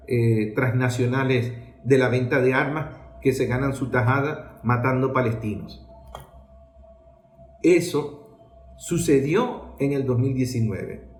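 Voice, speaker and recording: A man with a Venezuelan accent giving political commentary in an emphatic, rhetorical way, posing questions and answering them. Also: mid-range voice. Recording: roomy, echoing sound.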